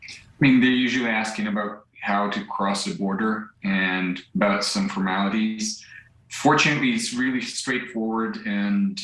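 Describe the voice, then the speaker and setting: A young man from central Ukraine with a Ukrainian accent, answering a live news interview in English in a halting way, searching for words. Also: fairly low pitch, a bit bright. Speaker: volunteer driver interviewed live. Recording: echoey remote link.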